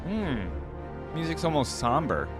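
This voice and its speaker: A man's voice: on the high side, very animated, a little slow, music in the background. A man giving casual, opinionated reaction commentary as a host, musing aloud in an informal way.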